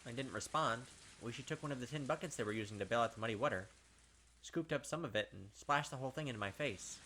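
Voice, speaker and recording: A man reading a visual novel aloud as a gaming host, with dramatic, expressive storytelling and pauses. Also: medium pitch, slight background noise, low-quality recording.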